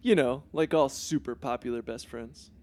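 A man's voice: medium pitch, moderate pace, darkish tone, close to an everyday voice. A man playing a vain, self-pitying teenage boy superhero in a comedy sketch, talking in a pompous, oblivious way. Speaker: Bruce Wayne Jr.

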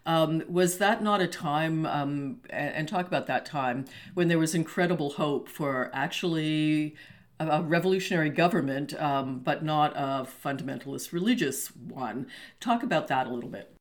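A woman's voice: low-pitched, normal speed. An older woman hosting a casual interview, chatty and full of fillers. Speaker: podcast host.

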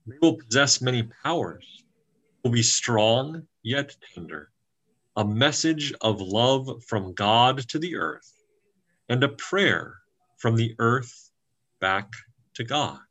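A man voicing a wise, ancient female storybook turtle, speaking in solemn, measured, weighty phrases. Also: mid-range voice, lively intonation, fairly bright tone, low-quality recording. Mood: solemn, tender.

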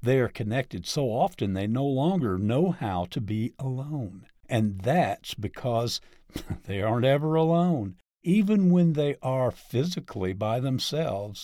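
A man reading a ministry article aloud, deliberate and evenly paced, with lively shifts in emphasis. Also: medium pitch, no room sound, studio quality. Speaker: article reader.

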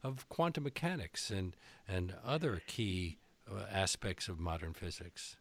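A man hosting a radio interview, asking measured questions with small hesitations. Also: low-pitched, big pitch swings, a bit bright. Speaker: radio host.

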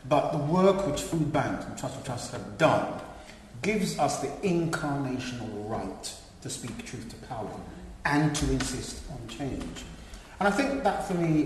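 A man's voice: medium pitch, a little hiss or hum. Earnest middle-aged man in an echoey hall. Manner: earnest, halting restarts. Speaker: panel speaker. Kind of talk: panel discussion remarks. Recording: echoey hall with long reverb.

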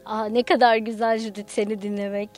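A woman's voice: medium pitch, level tone, fairly quick, a little mellow, soft background music. A woman storyteller, a radio guest, talking in an affirming, appreciative manner. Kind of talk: radio conversation.

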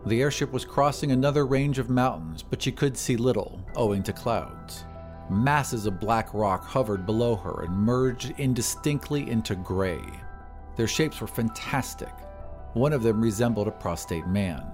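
A man's voice: mid-range voice, moderate pace, darkish tone. A man reading scripted narration as the narrator and host of an audio drama podcast. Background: music.

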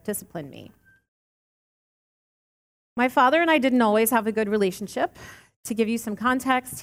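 A woman in her late thirties giving a sermon, earnest and warm with touches of light humor. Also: mid-range voice. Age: late 30s. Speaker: lay preacher. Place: church sanctuary. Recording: long church reverb.

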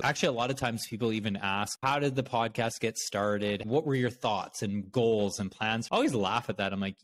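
A man from Canada with a Canadian accent, talking casually and conversationally as a podcast host. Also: fairly low pitch.

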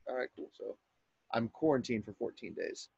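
A man's voice: mid-range voice, moderate pace, gravelly, darkish tone, slightly rough, dry recording, dull audio. A man in casual podcast chat, joking crudely, calling in from a camper trailer. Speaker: podcast guest.

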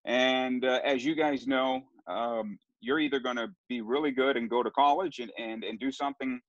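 A man's voice: medium pitch, normal speed, dry recording, dull audio. A middle-aged man from Southern California, a sports broadcaster telling his family's story in a casual interview, rambling with frequent restarts. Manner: rambling, with many restarts and fillers.

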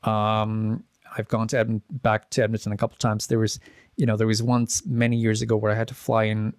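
A man's voice: fairly low pitch, monotone, brisk, no room sound, clean studio sound. A man in his thirties, born in the Czech Republic, chatting as a musician guest in an interview, rambling through stories with many restarts and fillers. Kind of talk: casual interview chat.

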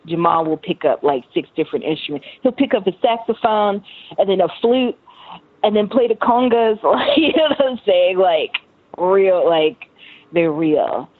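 An American woman around thirty chatting casually as a musician being interviewed, with an animated, slangy, effusive manner. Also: medium pitch, moderate pace, no echo, narrow, tinny audio. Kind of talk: casual chat.

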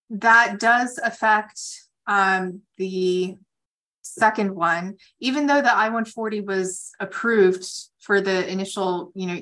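A woman attorney hosting a conference call, explaining legal points in measured, pausing phrases. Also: mid-range voice, fairly bright tone, poor audio.

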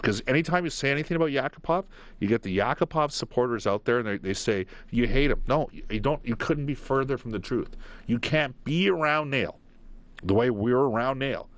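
A man hosting a live sports phone-in, giving opinions emphatically and pausing mid-thought. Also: mid-range voice, expressive, fairly bright tone. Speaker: sports radio call-in host.

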